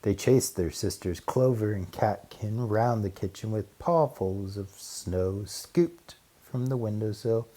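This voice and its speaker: A man narrating a children's storybook aloud as an audiobook, with deliberate, dramatic pauses and emphasis. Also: on the low side, warm tone.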